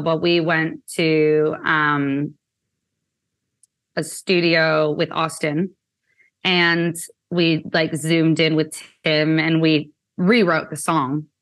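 A young woman from Canada talks about her music in a relaxed interview. Her voice sits a little low, and she fills her talk with "like" and "um" as she rambles along. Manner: chatty, many fillers like "like" and "um". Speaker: musician guest. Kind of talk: casual interview chat.

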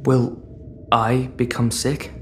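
A man's voice: medium pitch, flat delivery, slow, a little hiss or hum, natural voice. An actor playing a wounded, distrustful teenage boy in a sci-fi audio drama. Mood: uneasy, pleading.

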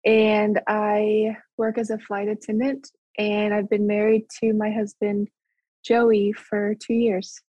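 Slow, flat-voiced young woman. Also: medium pitch, dry recording, dull audio. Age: 20s. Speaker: guest.

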